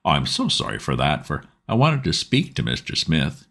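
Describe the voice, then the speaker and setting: A man voicing a keen, composed gentleman detective who speaks in a measured, coaxing way. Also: rumbling low, huge pitch swings, gravelly, full character voice.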